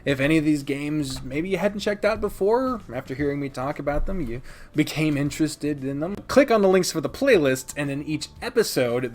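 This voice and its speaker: A man's voice: mid-range voice, darkish tone. A man hosting a casual countdown review of video games, rambling and informal, swearing freely and speaking with emphatic opinions. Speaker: video game reviewer and channel host. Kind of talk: casual countdown review commentary, partly scripted.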